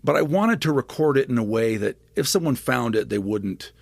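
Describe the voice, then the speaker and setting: A man's voice: mid-range voice, lively intonation, normal speed, gravelly, dry recording, clean studio sound. A man on a podcast, explaining scripture eagerly and often checking in with "right?" as he builds his argument. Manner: enthusiastic, lecturing with many asides and "right?" tags. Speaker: podcast guest, scripture scholar.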